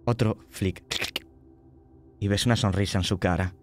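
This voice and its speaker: A man narrating a horror tabletop game as game master, slow and hushed, voicing small sound effects. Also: fairly low pitch, fairly even intonation, background noise.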